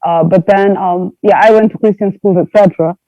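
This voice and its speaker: High-voiced man from Tanzania, muffled. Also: steady tone, brisk, dry recording. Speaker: panelist. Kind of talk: casual discussion.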